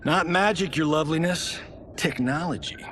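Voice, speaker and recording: A man's voice: on the high side, fairly bright tone, slight background noise, natural voice. A man playing a self-satisfied, wisecracking hero with a playful manner in a game cinematic. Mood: smug. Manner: boastful, playful, drawn-out. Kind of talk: video game cinematic acting.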